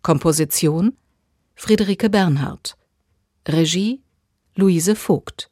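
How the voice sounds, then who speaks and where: A woman's voice: on the low side, slow, a little mellow, breathy edge, no room sound, clean studio sound. A woman reading scripted radio drama credits in a sober, plainly factual manner. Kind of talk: scripted announcement.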